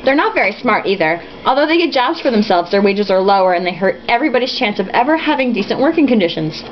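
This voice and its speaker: A woman's voice: medium pitch, big pitch swings, quick pace, close to an everyday voice. A woman reading the lines of a stern, indignant father with a firm, didactic delivery. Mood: indignant lecturing. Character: stern socialist immigrant father, indignant and lecturing. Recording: dull lo-fi with faint hiss.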